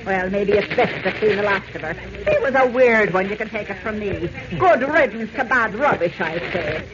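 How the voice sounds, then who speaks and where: A performer playing a nosy, sharp-tongued old landlady, chatty and scolding, in a 1940s-style radio serial. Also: mid-range voice, lively intonation, normal speed, lightly acted. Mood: gossipy, indignant. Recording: muffled noisy old radio broadcast.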